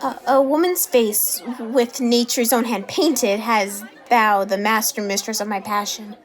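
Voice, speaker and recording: A woman performing a Shakespeare sonnet as a smitten young lover's monologue, in measured verse. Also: on the high side, steady tone, some chatter behind, lightly acted. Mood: admiring, smitten. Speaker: student drama performer.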